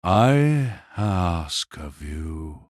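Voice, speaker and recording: A man's voice: low-pitched, big pitch swings, very slow, no room sound, studio quality, voice pushed down, heavily acted. A man voicing an eerie summoned magician spirit, speaking in a solemn, ceremonious way for an audio drama. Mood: solemn, commanding. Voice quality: soft yet carrying.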